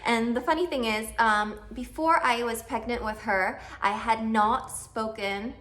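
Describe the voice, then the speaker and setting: A woman's voice: fairly high pitch, unhurried, a bit bright. A woman in her thirties from Canada, chatting casually to camera in a vlog, self-deprecating and full of fillers. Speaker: vlogger.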